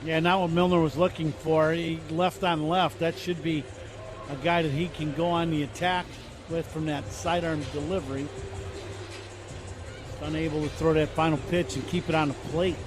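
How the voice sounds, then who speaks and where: A man giving color commentary on a baseball radio call, with a high voice. He breaks down the plays in a loose, talky way, restarting his sentences, and faint noise can be heard behind him. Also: flat delivery, moderate pace. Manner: conversational, explaining plays with restarts.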